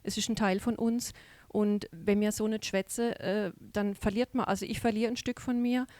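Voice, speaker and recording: A woman's voice: medium pitch, fairly even intonation, normal speed, a little mellow, dry recording. A middle-aged woman from Baden with an Alemannic Baden German accent, chatting as a guest in a studio interview with lots of filler sounds. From Baden, southwest Germany. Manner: chatty, many "ähm" fillers. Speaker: guest, dialect society chair. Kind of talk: relaxed interview chat.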